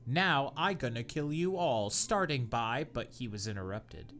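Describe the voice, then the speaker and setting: A man co-hosting a comedy podcast, reading bad fanfiction aloud in a deadpan voice that keeps cracking into laughter. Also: mid-range voice, unhurried. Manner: reads deadpan, breaks into laughter.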